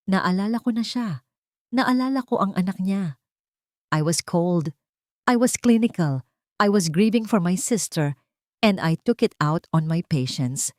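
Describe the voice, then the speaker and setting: A woman's voice: mid-range voice, expressive, unhurried, darkish tone, no room sound, studio quality, lightly acted. A woman voicing a grieving male doctor, anguished and remorseful.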